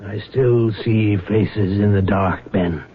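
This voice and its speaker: An actor playing a weary former gambling front man on the run, confessing in a deliberate, halting way in a radio drama. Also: fairly low pitch, steady tone, slightly rough. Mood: frank, weary. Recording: noisy, tinny old radio broadcast.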